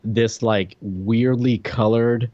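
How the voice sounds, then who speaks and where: A man's voice: mid-range voice, steady tone, slow pace, warm tone, no echo. American man chatting casually, dry dull recording. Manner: informal, hedging, conversational. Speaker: podcast co-host. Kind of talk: casual chat reviewing a TV show.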